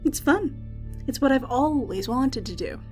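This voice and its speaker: A woman acting a high-voiced, cheeky fight announcer. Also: expressive, unhurried, darkish tone. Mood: flippant. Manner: teasing, flippant, defensive. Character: cheeky, evasive female announcer of underground fights. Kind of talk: audio drama acting. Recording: music under the voice.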